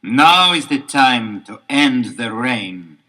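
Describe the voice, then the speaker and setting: An actor playing a ruthless, arrogant athlete villain with a rough voice in a melodramatic audio adventure. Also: male voice, mid-range voice, lively intonation, takes it slowly, sharp tone, heavily acted. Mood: bitter, threatening. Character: ruthless sneering male hockey-star villain, bitter and arrogant. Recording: 1970s LP radio-play, faint music.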